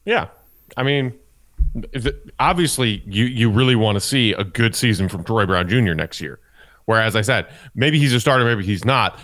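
A man's voice: on the low side, expressive, normal speed, fairly bright tone. A man co-hosting a sports podcast, casually explaining his analysis as he thinks aloud.

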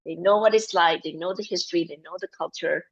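A woman's voice: fairly low pitch, a little fast, breathy edge, dull audio. A woman from Malaysia, a guest in a casual interview, talking animatedly with many fillers and restarts.

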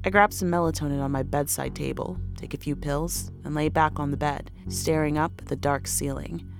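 A woman's voice: low voice, fairly even intonation, moderate pace, gravelly, music in the background. A woman playing an anxious, exhausted pregnant woman who narrates her own horror story in an intimate, weary manner. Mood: weary, uneasy. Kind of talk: first-person horror audio drama narration.

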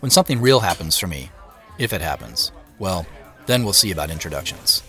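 A wry brother telling his story in an audio drama, kinda deep, bit of music behind. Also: very animated, fairly quick, sharp tone, exaggerated voice. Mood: reflective. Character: adult brother, a celebrity CEO, playful and guarded. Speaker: actor and narrator. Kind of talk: first-person audio drama narration and dialogue.

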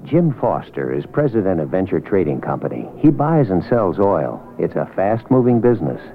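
A man's voice: deep voice, very animated, moderate pace. A man announcing a radio advertisement in short, scripted phrases. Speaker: commercial announcer. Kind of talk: clipped scripted radio ad read. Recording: muffled 1970s radio broadcast.

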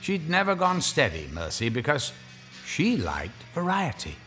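A man playing a wry horror storyteller, narrating theatrically with savouring, deliberate pauses. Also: fairly high pitch, huge pitch swings, bright, forceful tone, exaggerated voice. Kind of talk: scripted dramatic story narration. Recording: music under the narration.

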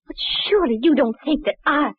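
An actress playing an indignant, highly strung wife suspected of murder in an old radio crime drama. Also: female voice, brisk, no echo, narrow, tinny audio, natural voice. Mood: nervous, defensive. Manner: sharp, agitated protesting. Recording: thin, band-limited old radio recording.

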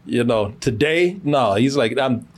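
A man's voice: mid-range voice, expressive, unhurried. A man hosting a casual, profane film review podcast, telling it emphatically with lots of repetition and free cursing.

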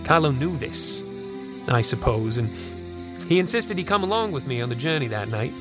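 A man's voice: mid-range voice, animated, close to an everyday voice. Male actor as folksy storyteller, haunted, reverent, suspenseful, audio drama, music behind. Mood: wistful. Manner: suspenseful, deliberate storyteller with folksy turns of phrase. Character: folksy old storytelling grandfather, haunted and reverent about fairies. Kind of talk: dramatic first-person narration on a recorded tape.

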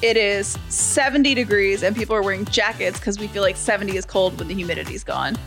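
A woman's voice: medium pitch, fast, vocal fry, a bit bright, soft background music. A woman chatting casually as a podcast co-host. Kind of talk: casual chat. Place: Atlanta.

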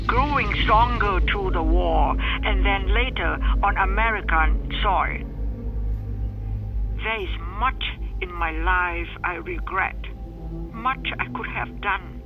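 An actress playing a haunted, remorseful older woman, a non-native English speaker confessing wartime horrors in a grave, halting way in an audio drama. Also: mid-range voice, phone-quality sound. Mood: regretful. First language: not English. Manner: halting, grave, slow and deliberate.

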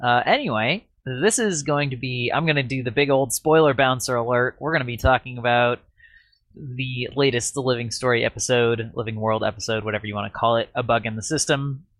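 A man with a slightly raspy voice chats casually as a podcast host. He rambles and laughs at himself. The sound is dry and close to the mic. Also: mid-range voice, fairly quick, a bit bright, no room sound.

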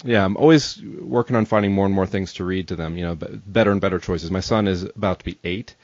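Male podcast host, deep and tentative, casual delivery, dry but slightly dull recording. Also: steady tone, fast talker, darkish tone, no echo. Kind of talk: casual chat. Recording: dull, lo-fi close microphone.

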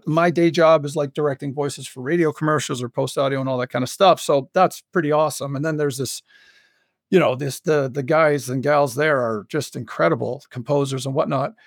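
A man with a notably high-pitched voice, recorded close and dry. He talks as a guest in a casual interview, enthusiastically stressing his words as he explains his creative work. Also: moderate pace, no room sound. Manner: enthusiastic, animated storytelling with frequent emphasis. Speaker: guest, audio fiction creator and commercial voice director.

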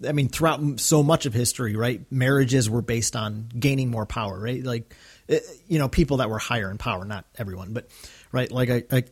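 A man co-hosting a casual discussion podcast, talking in a halting, enthusiastic fan's way. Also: medium pitch, slightly rough. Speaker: podcast co-host.

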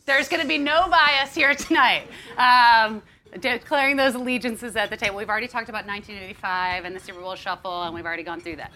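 A woman's voice: fairly high pitch, steady tone, fast, sharp tone, a little hiss or hum. A woman from the United States hosting a live onstage interview, upbeat and chatty with frequent ums. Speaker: interview host and magazine editor. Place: onstage before an audience.

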